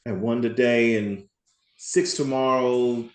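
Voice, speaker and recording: A man's voice: mid-range voice, fairly even intonation, slow. Man, party rental owner; casual, rambling, laughs a lot; roomy sound. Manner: chatty, rambling, laughs often. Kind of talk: casual video call roundtable.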